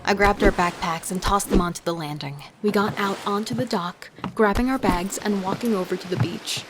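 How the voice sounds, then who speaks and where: A woman playing a thrill-seeking, remorseless killer and thief, narrating in an intimate, breathy way for a horror audio drama. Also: medium pitch, moderate pace, natural voice. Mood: dark, relishing. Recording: music under the voice. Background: music.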